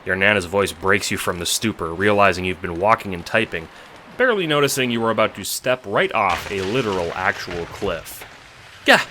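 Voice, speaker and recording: Deep, bright-voiced male narrator. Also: a little hiss or hum. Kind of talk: scripted second-person story narration.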